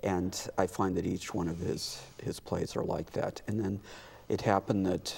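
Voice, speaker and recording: Low, gravelly, husky man chatting. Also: level tone, moderate pace, darkish tone. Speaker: interview guest, community theater director. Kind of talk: casual interview talk.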